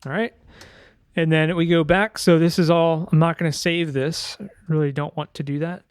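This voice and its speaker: A man's voice: fairly high pitch, fairly even intonation, normal speed. A man giving a casual screen-share tutorial as a sound designer, thinking aloud informally and correcting himself as he goes.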